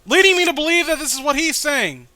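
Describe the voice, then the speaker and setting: A man's voice: very high voice, lively intonation, fast talker, pushed and bright, no room sound. A man co-hosting a casual comic read-along, rambling and exasperated, with frequent swearing. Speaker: co-host reading a webcomic. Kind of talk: casual comic riffing and commentary.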